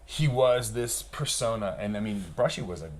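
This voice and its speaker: Low-voiced man reminiscing, slangy and loose. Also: expressive, normal speed. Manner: slangy, profane, laid-back. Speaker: podcast guest, veteran pro snowboarder. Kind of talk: casual reminiscing chat. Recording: noticeable background room noise.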